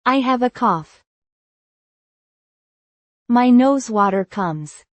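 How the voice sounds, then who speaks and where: A woman reading short English lesson phrases in a slow, even drill style. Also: on the high side, no room sound. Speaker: English teacher voice.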